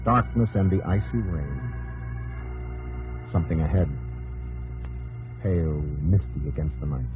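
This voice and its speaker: A man with a fairly low voice narrates an old 1950s radio drama. He speaks in solemn, clipped, dramatic phrases. Organ music plays behind him on the aged broadcast. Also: animated, measured pace, pauses often, narrow, tinny audio.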